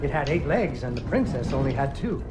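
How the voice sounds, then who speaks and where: A woman's voice: rumbling low, sing-song, a little fast. A little girl telling a puppet story. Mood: storybook telling. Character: young girl narrating a puppet-show story. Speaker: in-game narrator. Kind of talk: storybook narration. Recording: game audio with music behind.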